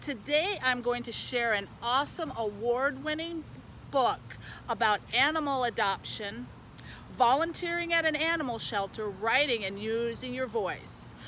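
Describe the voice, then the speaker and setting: A woman's voice: a little high, expressive, a little slow, bright, forceful tone, slight background noise, poor audio. A woman speaking as a youth librarian, presenting and reading a children's book aloud, recorded on location.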